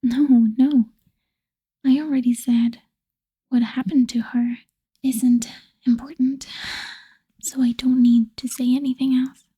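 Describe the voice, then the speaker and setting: A woman playing an obsessive, lovestruck female vampire in a whispered ASMR roleplay, breathy, coaxing and possessive, seductive with quiet menace. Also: sits high, little pitch movement, slow pace, long pauses, exaggerated voice. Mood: cold, sweet menace.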